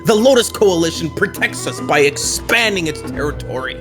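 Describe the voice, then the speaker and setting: A man playing a confident, sinister kung-fu military commander in a radio drama, speaking in a measured, persuasive and smug way. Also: on the high side, lively intonation, over music, close to an everyday voice. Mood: confident, rousing. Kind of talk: radio drama villain speeches.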